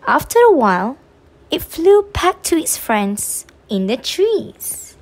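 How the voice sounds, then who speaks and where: A woman reading a children's story aloud in an expressive, drawn-out way meant for young listeners. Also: sits high, low-quality recording. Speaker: storybook reader on a children's channel.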